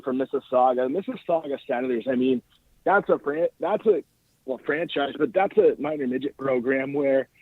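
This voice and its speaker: An Ontario Canadian man talks sports casually as a call-in analyst. His voice is medium in pitch, and he is chatty and enthusiastic, tagging points with "right?". It comes over a dry, thin phone connection. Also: gravelly, husky, no room sound. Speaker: podcast host calling in as guest analyst. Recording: narrow phone-line call.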